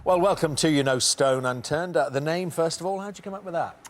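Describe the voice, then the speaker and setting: A middle-aged man hosting a TV quiz in a studio, reading questions briskly and teasing the contestants. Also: fairly high pitch. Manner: brisk, teasing, reads multiple-choice questions. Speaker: quiz show host.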